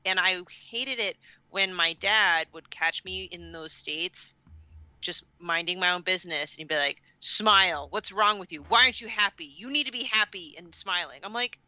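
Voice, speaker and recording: Bright, forceful woman chatting candidly. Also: lowish voice, normal speed, dry recording, muffled recording. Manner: expressive, acts out quoted remarks. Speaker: podcast co-host. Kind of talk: casual, candid chat.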